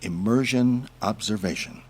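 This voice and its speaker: A playful, irreverent covert superior in an audio drama. His voice sits slightly low and has a husky rasp. He talks casually, teasing and enthusing as he hands out orders. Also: measured pace, darkish tone. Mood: amused, casual.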